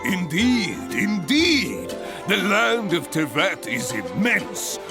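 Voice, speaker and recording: A man's voice: sits high, wildly swooping pitch, takes it slowly, exaggerated voice. A man voicing a boastful, jovial veteran adventurer in a video game, hearty and grandiose in his delivery. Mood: grandiose, amused. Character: boastful, jovial seasoned male adventurer and guild master. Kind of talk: video game character acting. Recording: game music behind the voice.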